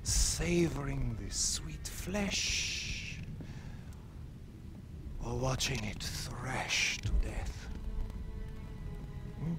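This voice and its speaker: Sadistic, slow-talking German officer. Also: male voice, medium pitch, animated, music in the background. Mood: gloating, menacing. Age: middle-aged. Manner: mock-courteous, savoring his words. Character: sadistic, genteel middle-aged Nazi officer, menacingly polite. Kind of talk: cinematic war game acting.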